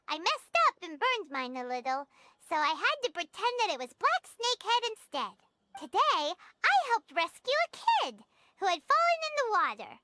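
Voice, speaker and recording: A woman voicing a small, chirpy, childlike fairy companion in a video game, squeaky and eager. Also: wildly swooping pitch, moderate pace, sharp tone, dry recording, full character voice. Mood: reading aloud. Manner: bubbly, exclaiming, thinking aloud. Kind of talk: English video game voice acting.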